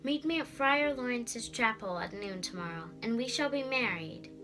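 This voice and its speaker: A woman's voice: fairly high pitch, expressive, normal speed, over music, voice pushed up, lightly acted, poor audio. A young female reader voicing an earnest, courtly young man in love in a storybook. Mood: ardent.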